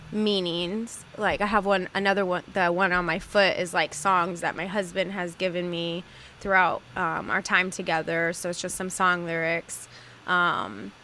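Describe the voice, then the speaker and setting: A young woman from Southern California, co-hosting a podcast in casual chat, full of fillers and quick laughter. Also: fairly low pitch, bright, forceful tone, slight background noise. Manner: chatty, laughs often, many fillers. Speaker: podcast co-host.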